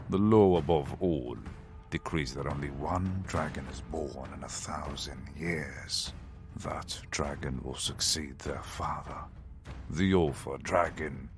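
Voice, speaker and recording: An actor playing an arrogant, menacing dragon in humanoid form in a fantasy audio drama, pompous and grandiose, with a gravelly voice. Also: male voice, a bass voice.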